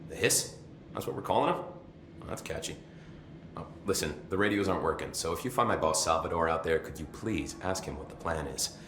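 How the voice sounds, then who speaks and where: An actor playing a chatty, nervy, loyal maintenance security chief in a video game, rambling informally with wry swearing. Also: male voice, extremely low pitch, fairly quick, fairly bright tone, roomy sound, a little hiss or hum. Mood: wry, urgent.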